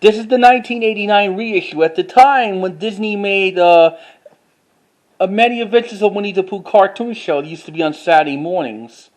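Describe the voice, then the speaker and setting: High-voiced man in a halting show-and-tell. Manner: halting, repetitive, informal delivery. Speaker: collector showing items to camera. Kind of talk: casual show-and-tell vlog.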